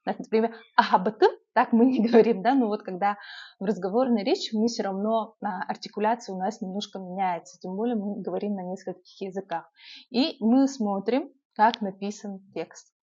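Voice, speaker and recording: A woman's voice: medium pitch, moderate pace, slightly rough. Young woman, urban Yakut accent, chatty advice vlogger; slightly muffled, lo-fi recording. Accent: urban Yakut Russian. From Yakutia, Russia. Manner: chatty, lively, many filler sounds. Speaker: vlogger giving language-learning tips. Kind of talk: casual advice vlog.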